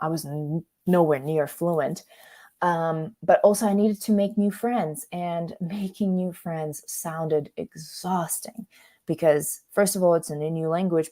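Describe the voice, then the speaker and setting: Woman from russia, native russian speaker, lowish voice, chatty career coach running an online workshop, keeps checking in. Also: mellow. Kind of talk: conversational online workshop presentation.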